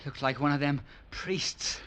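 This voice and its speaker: A crafty old-salt treasure hunter. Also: male voice, a little high, measured pace, a bit bright. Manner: folksy sailor talk, calls others "me bucko". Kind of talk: 1940s radio acting. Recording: hissy old radio broadcast.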